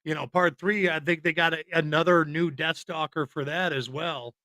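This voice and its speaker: A man's voice: a little high, steady tone, normal speed, sharp tone, no echo. A man co-hosting a podcast, talking casually about films in a rambling, informal and opinionated way. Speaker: podcast co-host. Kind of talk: casual film chat.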